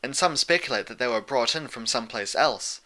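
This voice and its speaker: A man voicing a calm, regal pony princess in a storybook reading, a wise and motherly teacher with a measured, lecturing manner. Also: mid-range voice. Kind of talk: fan fiction audiobook character voice.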